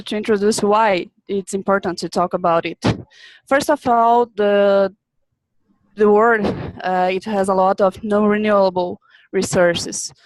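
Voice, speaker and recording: A young woman from Brazil, a business student, presenting a webinar in a halting way, often repeating words as she searches for them. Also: medium pitch, dry recording, low-quality recording.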